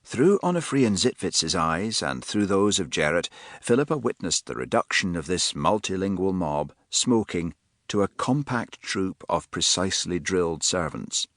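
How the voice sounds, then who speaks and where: A man reading an audiobook with measured, expressive narration, recorded close on a studio mic. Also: deep voice, no echo. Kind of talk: scripted narration.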